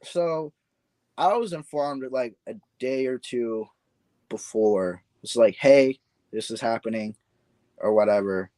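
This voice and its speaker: Male podcast guest, casual and rambling, mid-pitched, dry close sound. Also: slow pace, dark tone, no echo. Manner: laid-back, rambling with repeated restarts. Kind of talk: casual chat.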